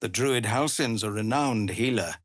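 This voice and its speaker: A man voicing a weary, honourable middle-aged tiefling paladin in a video game, speaking in a measured, formal, grave manner. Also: mid-range voice, no room sound, clean studio sound, close to an everyday voice. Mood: weary, urgent. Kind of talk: video game dramatic voice acting.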